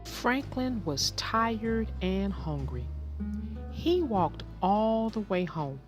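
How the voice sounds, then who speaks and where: A woman narrating a children's storybook aloud as host, expressive and sing-song, then chatting about the story. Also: medium pitch, extremely slow, faint music behind.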